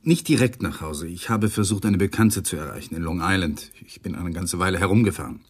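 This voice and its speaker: A shaken, evasive young widower in a radio crime drama. His voice is low, and he speaks in a hesitant, subdued manner. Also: brisk, slightly rough, no room sound, clean studio sound. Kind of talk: 1980s radio play acting.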